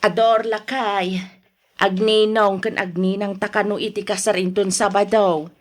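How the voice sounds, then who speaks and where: A woman's voice: mid-range voice, level tone, a little slow, close to an everyday voice. A woman playing an exasperated middle-aged wife in an Ilocano radio drama, speaking with an Ilocano accent in a nagging, exclamatory manner. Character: exasperated middle-aged wife scolding, then placating her husband.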